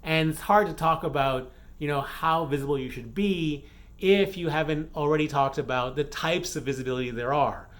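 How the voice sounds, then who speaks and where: A man's voice: on the high side, moderate pace, fairly bright tone. A man from the Bahamas chatting casually in a vlog update, rambling with frequent fillers and self-corrections. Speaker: romance author and vlogger.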